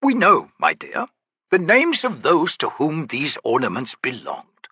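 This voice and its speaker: Picture a grand, self-delighted trickster talking about himself in the third person, voiced by a man high and theatrical, his pitch soaring and dipping wildly. The tone is bright and a bit husky, recorded dry and close for a storybook reading. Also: wildly swooping pitch, a little slow, no echo, full character voice. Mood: grandiloquent. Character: pompous flowery male trickster scholar. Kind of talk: audiobook character voice.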